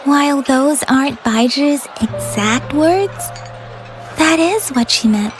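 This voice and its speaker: Thoughtful young woman in a game, high n gentle, explains stuff earnestly, music under it. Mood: earnest, informative. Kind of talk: video game voice acting.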